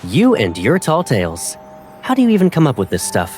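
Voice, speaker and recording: A man's voice: medium pitch, wildly swooping pitch, brisk, darkish tone. A man playing a young pilot in an audio drama, playful and protective, teasing a childhood friend with affection. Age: young adult. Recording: faint music behind the voice.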